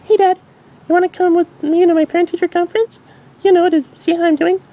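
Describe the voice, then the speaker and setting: A man voicing an excitable, sweet six-year-old unicorn filly in an amateur cartoon fan-fiction reading, gleeful and pleading. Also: very high voice, fast, lo-fi sound, voice pushed up. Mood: eager.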